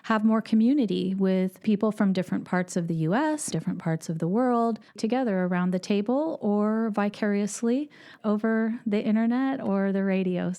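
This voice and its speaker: A woman's voice: medium pitch, normal speed, dark tone. A woman co-hosting a podcast, chatting in a cheerful, talkative way. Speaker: podcast co-host. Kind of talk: casual chat.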